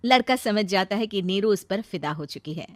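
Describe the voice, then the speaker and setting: A woman's voice: mid-range voice, expressive, moderate pace, dry recording. A woman narrating a scripted, dramatised story as its storyteller.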